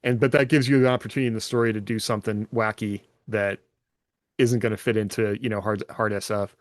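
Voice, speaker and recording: A man's voice: on the low side, steady tone, fast talker, dry recording. Casual man thinking aloud, dry close sound. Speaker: podcast co-host. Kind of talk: casual chat about science fiction.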